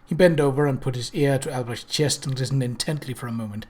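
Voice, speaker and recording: A man narrates a story from a script in a middle-pitched voice. His reading is expressive and dramatic, in the manner of an audiobook. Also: fast talker. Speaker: audiobook reader.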